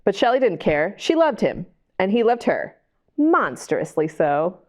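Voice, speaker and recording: A woman's voice: mid-range voice, a little slow. Woman reading animatedly to young children. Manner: animated, addresses young listeners with questions. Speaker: library storytime host and reader. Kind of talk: children's picture-book read-aloud with chatty asides.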